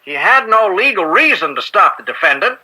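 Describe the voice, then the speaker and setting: Slick defense attorney, American, high-pitched, piercingly bright, formal pointed oratory, smug, radio drama. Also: male voice, big pitch swings, a little fast, full character voice, poor audio. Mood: indignant, oratorical. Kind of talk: old-time radio courtroom drama acting.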